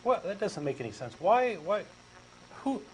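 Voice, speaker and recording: A man's voice: sits high, sing-song, moderate pace, a little hiss or hum. A man with a Texan accent reading a comic dialogue as a hesitant, skeptical questioner who stammers. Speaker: co-host doing a comic dramatic reading.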